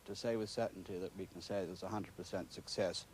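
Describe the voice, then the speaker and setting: A middle-aged British man giving measured interview answers, formal and careful, with hesitant phrasing. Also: sits low, fairly even intonation. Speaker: school staff member interviewed. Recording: clear background noise on location.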